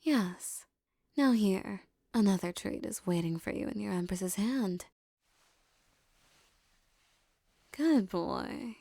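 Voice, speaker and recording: A woman playing a regal, possessive empress who coaxes and teases a captive servant in soft, condescending tones, often near a whisper, in an intimate roleplay audio. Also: mid-range voice, expressive, slow pace, vocal fry, no room sound, clean studio sound, full character voice. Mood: amused, soothing.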